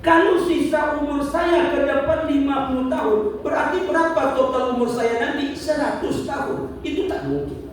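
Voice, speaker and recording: A man's voice: very high voice, expressive, moderate pace, recorded from a distance, a little hiss or hum. Man preaching in an echoey hall. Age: 50s. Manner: rhetorical questions, repeats key points, light jokes with the audience. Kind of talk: religious lecture.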